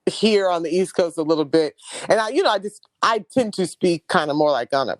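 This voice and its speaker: A woman's voice: lowish voice, brisk, no room sound, clean studio sound. Chatty woman with a Houston accent. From Houston, Texas. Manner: animated, candid, laughs often. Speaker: podcast co-host. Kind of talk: casual chat.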